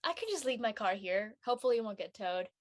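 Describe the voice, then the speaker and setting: A young actress playing a homesick, worn-down college student in a drama, hesitant and soft-hearted. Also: female voice, fairly high pitch, expressive, fast, close to an everyday voice. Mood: subdued. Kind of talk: acted dialogue in an audio-led play.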